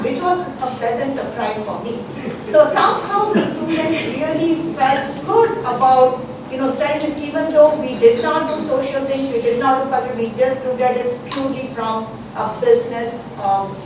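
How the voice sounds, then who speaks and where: Woman academic presenter, conversational and explanatory, heard from far off in a very echoey room. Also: fairly high pitch. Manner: conversational, explanatory, frequent 'you know'. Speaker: college business lecturer presenting. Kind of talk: informal conference presentation. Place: large echoey room, recorded from afar. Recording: echoey room, distant mic, noisy.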